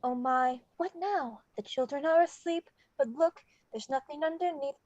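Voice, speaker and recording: A woman playing an excitable, wonderstruck male skeleton in a table read of a musical, with theatrical, rhyming exclamations. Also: high voice, moderate pace, no echo, highs cut off, lightly acted. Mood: hushed wonder.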